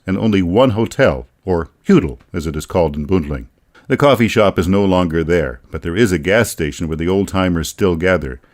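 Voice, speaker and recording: A man with an American accent and a very low voice reads scripted documentary narration. He tells it like a folksy storyteller, with a dry wit running through the delivery. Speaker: radio feature narrator and author.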